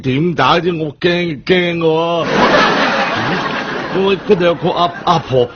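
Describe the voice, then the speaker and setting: Guy doing a timid cantonese gangster kid, stutters loads, whiny high voice, comic radio skit, dull hissy sound. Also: unhurried, close to an everyday voice. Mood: scared, hesitant. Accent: Cantonese, Guangdong. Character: timid, stammering young small-time gangster, hapless would-be robber. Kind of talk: improvised comic radio drama.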